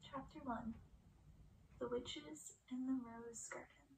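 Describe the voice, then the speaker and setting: A soft-spoken woman doing an ASMR read-aloud, often slipping into breathy whispers. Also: sits high, fairly even intonation, slow pace, slight background noise, low-quality recording. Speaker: ASMR performer reading a book aloud.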